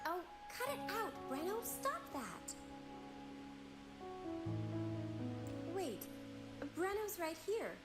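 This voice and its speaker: Casting: young game acolyte, high voice, courteous and earnest, music loud behind her. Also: big pitch swings, unhurried, full character voice. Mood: searching, puzzled. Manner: polite, earnest, slightly flustered. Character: Phoebe, gentle polite earnest young church acolyte woman. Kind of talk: video game voice acting.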